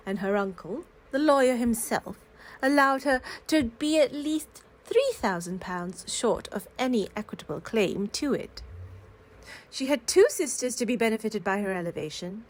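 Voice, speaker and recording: A woman reading classic literary fiction aloud in a calm, cozy storytelling style. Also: fairly high pitch, poor audio. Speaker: reader of classic novels aloud.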